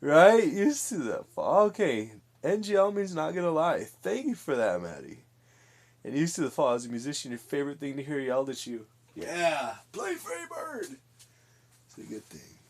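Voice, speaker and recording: A man's voice: fairly high pitch, very animated, moderate pace. A man in his twenties hosting a live stream, casually chatting and giggling as he reads out messages. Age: 20s. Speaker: livestream host. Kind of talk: casual chat with chat while painting.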